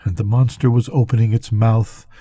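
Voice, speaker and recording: An older man reading a bedtime story aloud as a storyteller, with deliberate, dramatic phrasing. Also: lowish voice, little pitch movement, dark tone, slightly rough.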